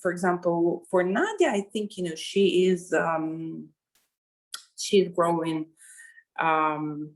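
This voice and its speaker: Middle-aged woman, lowish voice, lots of ums and you knows. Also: slow pace. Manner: hesitant, many fillers and restarts. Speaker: interviewee. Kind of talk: conversational interview answer.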